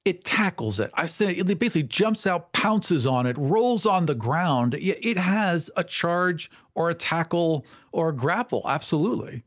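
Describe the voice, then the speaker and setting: A man's voice: on the high side, lively intonation, normal speed, hardly any pauses, a bit bright, no room sound, studio quality. A man on a podcast panel, telling things in a casual, conversational way as a tabletop player.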